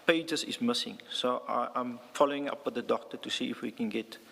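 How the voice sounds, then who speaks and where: A man giving formal testimony as a witness, deferential and somewhat halting. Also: medium pitch, fairly even intonation, gravelly, pushed and bright, slight background noise. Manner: deferential, halting, addresses the commissioner. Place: large hearing room. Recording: reverberant hall.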